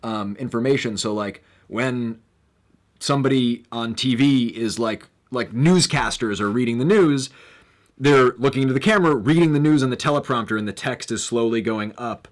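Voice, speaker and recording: A young man hosting a casual live stream, rambling with lots of fillers and bursts of excitement. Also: mid-range voice, moderate pace.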